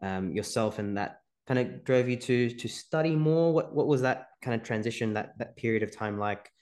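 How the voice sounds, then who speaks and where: A man's voice: mid-range voice, expressive, moderate pace, darkish tone. A man hosting an interview podcast, upbeat and encouraging toward his guest. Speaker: podcast host.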